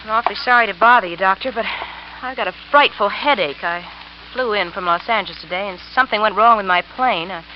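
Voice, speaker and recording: A woman's voice: mid-range voice, natural voice. An actress playing a scheming young woman who acts nervous in a 1940s radio drama, speaking in a faltering, flustered way. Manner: quick, flustered, faltering speech. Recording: muffled old radio broadcast with hiss.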